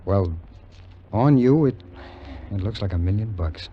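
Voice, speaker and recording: Wry American drifter, muffled old radio. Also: male voice, on the low side, expressive, unhurried, noisy background. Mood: awkward, hesitant. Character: wry, wary drifter war veteran, man telling his tale. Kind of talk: 1950s radio drama acting and first-person narration. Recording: muffled vintage 1950s radio recording, music behind.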